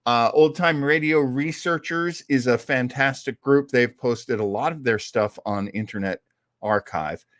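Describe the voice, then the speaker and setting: A man's voice: mid-range voice, a little slow, a bit bright, no room sound, clean studio sound. A man hosting an online show with casual, rambling talk. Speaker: host of an old-time radio show online.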